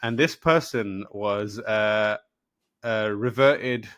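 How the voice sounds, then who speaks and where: A young man born in Pakistan and raised in London, telling a personal story in casual chat with halting restarts and laughter. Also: on the low side, a bit bright, no room sound. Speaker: podcast guest.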